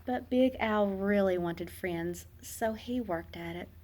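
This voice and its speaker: A woman narrating a children's picture book aloud in an expressive, dramatic way, with emphatic pauses and hushed phrase endings. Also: medium pitch. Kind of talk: expressive storybook read-aloud.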